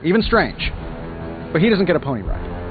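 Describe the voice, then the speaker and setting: An actor playing a flippant, rich playboy superhero in a video game, with breezy, wisecracking delivery. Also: male voice, a little high, sing-song, a little fast, narrow, tinny audio. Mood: cocky, playful. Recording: band-limited game audio under loud music.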